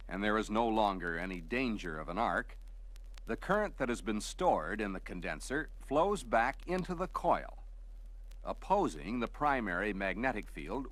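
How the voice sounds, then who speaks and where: Male training film narrator, lively intonation. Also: lowish voice, rough recording. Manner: measured, explanatory, clearly structured delivery. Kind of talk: scripted instructional narration.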